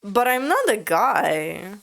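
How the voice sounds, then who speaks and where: Slow-talking woman, expressive. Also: gravelly, a little hiss or hum. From Latvia. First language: Latvian. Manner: expressive, sudden jumps up in pitch, candid. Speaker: vlogger. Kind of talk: casual vlog narration and chat.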